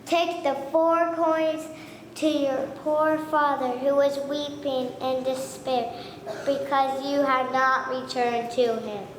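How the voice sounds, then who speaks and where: A child acts out a ghostly cricket giving solemn advice in a puppet play. The voice is high and very slow, each line placed carefully, in an echoey hall. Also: level tone. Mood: earnest warning. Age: child, first grader. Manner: careful, deliberate line-by-line delivery. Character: ghost of a talking cricket, solemn earnest adviser. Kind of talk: children's puppet show recitation. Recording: echoey hall, faint noise.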